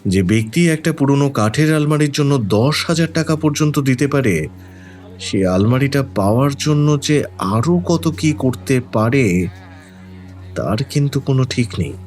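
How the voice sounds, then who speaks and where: A shrewd, composed detective, acted by a man in an audio drama. He muses wryly and deliberately with very lively intonation, with music underneath. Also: mid-range voice, natural voice. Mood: grave warning. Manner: musing, deliberate, wry. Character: shrewd, calm, wry male detective.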